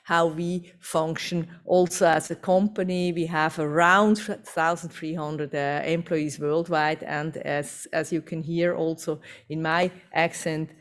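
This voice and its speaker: A woman's voice: sits low, steady tone, measured pace, few pauses. Swiss-accented woman giving a talk. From Switzerland. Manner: fluent non-native English with fillers, upbeat. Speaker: CEO presenting. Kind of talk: conference presentation.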